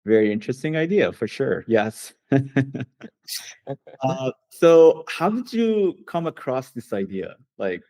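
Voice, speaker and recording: A man's voice: medium pitch, big pitch swings, slow pace, mellow. A man hosting an interview, English not his first language, asking curious questions with stammering restarts. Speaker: podcast host.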